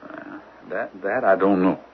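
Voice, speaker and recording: An actor playing a dogged, hot-headed, vengeful young detective in a radio drama, speaking bluntly and folksily with small stammers. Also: male voice, sits low, very animated, measured pace, gravelly, slight background noise, exaggerated voice. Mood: insistent. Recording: muffled old radio broadcast.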